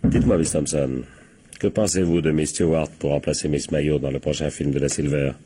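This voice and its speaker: A man playing a shrewd, ironic police captain who deliberately corners suspects in a French radio crime drama. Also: a bass voice, fairly even intonation, warm tone, slight background noise. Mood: sly, ironic. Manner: deliberate, ironic, teasing rhetorical questions.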